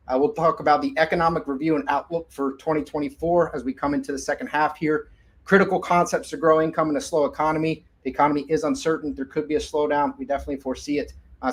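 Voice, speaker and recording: A man with an American accent hosts a webinar, presenting in an informal, businesslike style. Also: fairly high pitch. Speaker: webinar host and marketing agency owner.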